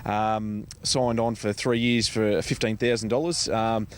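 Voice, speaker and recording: An Australian man giving informal interview answers as a guest, speaking in a hesitant, halting way with many pauses. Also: fairly low pitch, little pitch movement, clear, resonant tone. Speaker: interview guest, charity foundation organiser.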